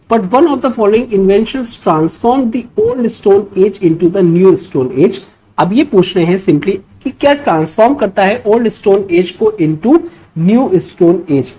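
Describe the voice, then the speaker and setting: A woman's voice: mid-range voice, warm tone, poor audio. A woman with an Indian accent giving an instructional history lecture in mixed Hindi and English, reading out quiz questions and often adding check-in tags. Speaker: history teacher for exam preparation.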